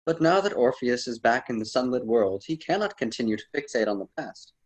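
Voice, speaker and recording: A man playing a wise, admonishing storyteller mentor in an amateur radio drama, formal and slightly husky. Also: medium pitch, animated, brisk, lightly acted. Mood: patient. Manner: formal, solemn, didactic.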